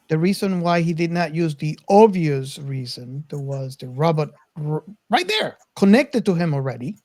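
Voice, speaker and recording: A man co-hosting a casual film discussion, reasoning aloud in a deliberate way. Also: high-pitched, a little mellow. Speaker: podcast co-host.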